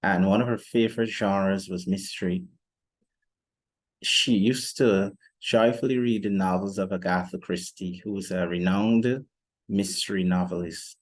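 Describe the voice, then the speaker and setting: Measured young man with a lowish voice. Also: steady tone. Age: young adult. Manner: measured, deliberate, formal phrasing. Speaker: student podcast host. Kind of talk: explanatory podcast monologue.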